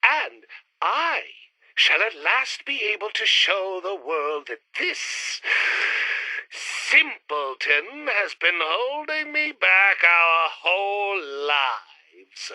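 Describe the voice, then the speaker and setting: An actor voicing an arrogant, squabbling alien scientist twin, sneering and excitable, in a retro sci-fi radio play. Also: male voice, high voice, very slow, no room sound, exaggerated voice, poor audio. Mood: resentful.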